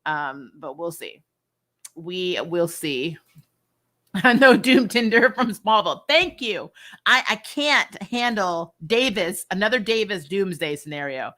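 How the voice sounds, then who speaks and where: Bright-voiced woman chatting on a livestream. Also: mid-range voice, slow. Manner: animated emphasis, repeats phrases for effect, laughs readily. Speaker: host of a comic and TV review channel. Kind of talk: casual livestream chat, answering viewer questions.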